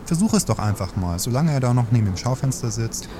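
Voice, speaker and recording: A man's voice: mid-range voice, big pitch swings, quick pace, background noise, lightly acted. A man playing a sardonic, long-suffering husband in a satirical radio play, mock-polite and pointed in wordy sentences.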